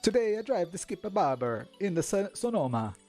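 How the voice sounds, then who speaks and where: A man's voice: sits high, very animated, brisk, mellow, soft background music, voice pushed up. A man hamming up an exaggerated, stereotypical Italian accent as a joke for his stream. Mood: hammy. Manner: hammy, over-the-top accent put on for laughs.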